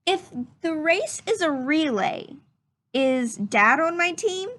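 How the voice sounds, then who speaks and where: A woman's voice: high-pitched, expressive, takes it slowly, fairly bright tone, voice pushed up, heavily acted. A woman voicing a curious little boy in a storybook, asking earnest questions with questioning, rising phrasing. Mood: earnest wondering. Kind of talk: storybook read-aloud.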